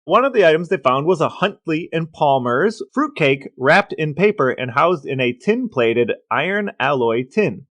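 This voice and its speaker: A man's voice: on the high side, big pitch swings, moderate pace, few pauses, fairly bright tone, no room sound. A man co-hosting a podcast, relaying researched facts in a dry, matter-of-fact way. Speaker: podcast co-host. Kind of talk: informative podcast talk, reading out researched facts.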